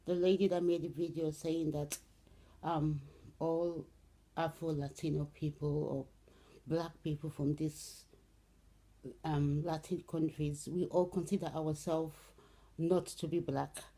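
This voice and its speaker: A middle-aged woman from Brazil, speaking casually to camera with many "um"s and "okay"s, in an unhurried way. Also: sits low, flat delivery, dark tone, poor audio. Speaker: vlogger. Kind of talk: casual spoken commentary to camera.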